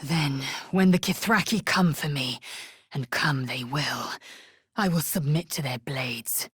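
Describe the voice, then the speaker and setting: An actress voicing a fierce, haughty alien warrior woman in a video game, with a husky voice and an imperious, clipped, disdainful manner. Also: low-pitched, measured pace, no echo, clean studio sound, full character voice. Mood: solemn. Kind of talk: video game character voice lines.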